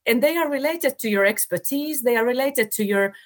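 A woman who is a researcher, explaining her findings in an animated, explanatory way. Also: on the high side, a little fast. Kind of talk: interview answer.

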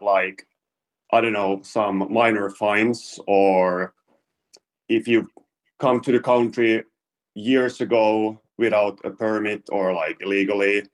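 A man who is an investigative journalist, explaining his findings with hesitations and word searches. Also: lowish voice. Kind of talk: interview answer.

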